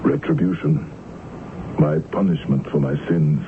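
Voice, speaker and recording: An actor playing a tormented, guilt-ridden sea captain in a radio drama, solemn, weary and melodramatic. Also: male voice, very deep voice, huge pitch swings, unhurried, vocal fry, exaggerated voice. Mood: resigned despair. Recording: muffled, noisy old radio broadcast.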